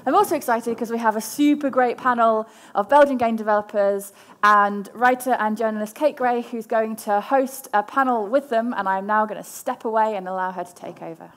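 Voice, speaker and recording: A woman introducing a panel at a live event, upbeat and excited. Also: fairly high pitch, a little fast.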